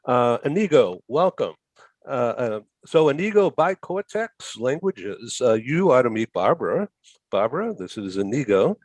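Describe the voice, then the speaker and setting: A male host greeting guests on a video call, his pitch moving up and down a lot. The sound is dry and close. He hesitates with fillers as he introduces people. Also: sing-song, moderate pace, a little mellow, dry recording. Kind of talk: talk show hosting.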